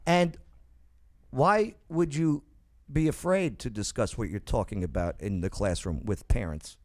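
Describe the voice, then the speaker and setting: A man's voice: mid-range voice, measured pace, darkish tone. A man hosting an opinionated talk show panel, emphatic and insistent, often tagging his points with "okay?" and "right?".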